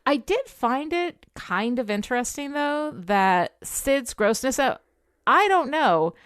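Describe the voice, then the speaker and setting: A woman's voice: high-pitched, sing-song, slow pace, a bit bright, clean tone, no room sound. Blunt woman podcaster, dry close sound. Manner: emphatic, blunt, sweary. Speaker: podcast co-host, TV show critic. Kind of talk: casual opinionated podcast discussion.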